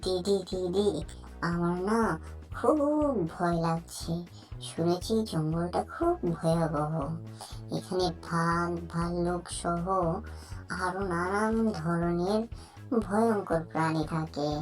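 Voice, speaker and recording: A woman's voice: lowish voice, fairly even intonation, slow pace, faint music behind. A woman voicing a timid, friendly younger sibling in a children's cartoon. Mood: frightened.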